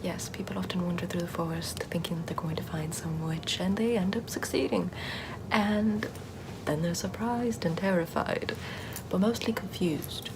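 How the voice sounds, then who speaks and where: A woman playing a sardonic witch healer in an ASMR roleplay, soft-spoken and often whispering in a conversational way. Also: lowish voice, normal speed, close to an everyday voice. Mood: wry, confiding. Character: sardonic Puritan-era witch, chatty, scornful yet soothing healer. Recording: clear background ambient noise.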